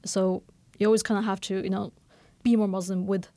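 A woman in her thirties, a novelist who grew up speaking Norwegian and has roots in Bangladesh, talking candidly and quickly in a radio interview with lots of fillers. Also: medium pitch, no room sound. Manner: fast, candid, animated with many fillers. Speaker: novelist guest.

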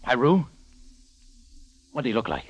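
An actor playing a tough, wry American adventurer with a terse hard-boiled delivery, in 1940s radio drama style, on a dull old recording. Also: male voice, mid-range voice, big pitch swings, moderate pace, breathy edge, dry recording. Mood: probing. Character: tough, wry American adventurer narrating his own case.

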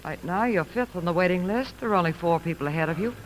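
An actress playing a polite but firm airline clerk in a 1950s radio drama, businesslike and courteous. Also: female voice, lowish voice, quick pace, close to an everyday voice. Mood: brisk, helpful. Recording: noisy old radio broadcast.

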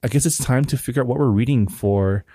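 A man from Hawaii co-hosting a book podcast, speaking in a relaxed, casual way with many fillers. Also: mid-range voice, fast talker, slightly rough, no echo, clean studio sound. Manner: relaxed, filler-heavy, laughs along. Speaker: podcast co-host.

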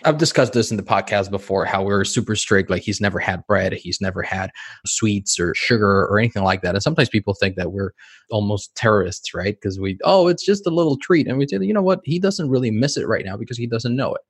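A man from Mexico with a fairly low voice hosts a podcast conversation, asking long, rambling questions and rephrasing himself mid-thought. The recording is close and dry, with no room sound. He sounds like someone in his thirties. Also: expressive, fairly quick, breathy edge, dry recording. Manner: talks in long winding sentences, rephrasing as he goes. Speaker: podcast host. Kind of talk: conversational interview.

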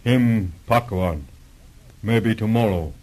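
A man's voice: lowish voice, takes it slowly, darkish tone. An actor playing a stolid male guide who speaks terse broken English in a 1940s radio drama. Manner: terse, clipped broken phrases. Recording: old 1940s radio recording.

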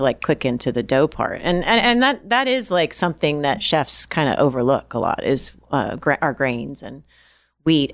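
A woman chef talks through her story in a relaxed, meandering way, often restarting her sentences and adding fillers. Her voice sits low, and the sound is dry, with no room around it. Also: dry recording. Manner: rambling, restarts, many "like"s. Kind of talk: casual interview answers.

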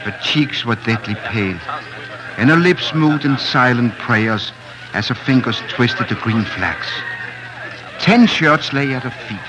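A man's voice: mid-range voice, expressive, a little slow, background noise. A man narrating a story theatrically and suspensefully in 1940s radio drama style. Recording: old 1940s radio recording with music.

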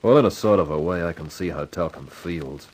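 An actor playing an excitable, wisecracking employee in a 1950s radio detective drama, blustering and easily cowed. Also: male voice, low voice, brisk, a little hiss or hum, close to an everyday voice. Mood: eager, casual. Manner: indignant bluster sliding into stammering deference.

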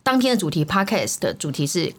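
A woman's voice: on the low side, sing-song, fairly quick, fairly bright tone, slightly rough. A woman co-hosting a podcast, upbeat and explaining. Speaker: podcast co-host and teacher. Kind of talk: casual chat.

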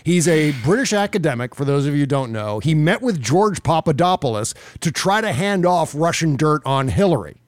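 A man's voice: fairly high pitch, very animated, moderate pace, few pauses, no echo, studio quality. A man hosting casual political commentary in a sarcastic, exasperated and profane way. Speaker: podcast host.

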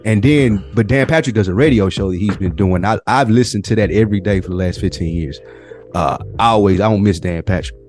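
Man, low voice, podcast host, informal slangy storyteller, casual chat, faint music behind. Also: a little fast, darkish tone.

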